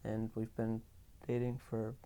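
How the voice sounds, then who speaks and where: A young man working in logistics, speaking in a low-key, soft-spoken way in an interview. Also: completely flat delivery, measured pace, clean tone, low-quality recording. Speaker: logistics worker. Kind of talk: personal testimony in a documentary interview.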